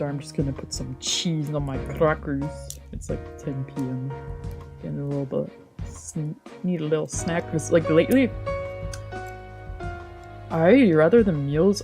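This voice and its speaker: A woman's voice: low voice, a little slow, music in the background. A woman streamer rambling casually and playfully as she gets ready to play a game, with informal, sweary, self-deprecating talk. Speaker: VTuber streamer playing a visual novel. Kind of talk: casual rambling livestream chat. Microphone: old, cheap microphone.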